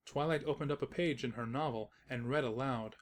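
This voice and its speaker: Very low-voiced woman narrating a story. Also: a little fast. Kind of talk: scripted comedic story narration.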